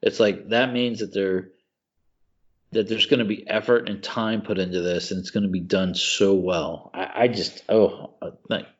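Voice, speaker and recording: Podcast co-host, man, lower voice, excitable gusher, lo-fi sound. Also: normal speed. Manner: excitable, gushing, says "dude" often. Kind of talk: casual chat.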